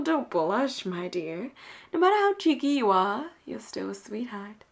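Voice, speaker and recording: Woman acting a flirty, breathy female pirate captain. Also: a little high, exaggerated voice. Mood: flirty, teasing. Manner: flirtatious, teasing, breathy drops into whispers. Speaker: voice actor in audio roleplay. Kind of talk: intimate audio roleplay acting.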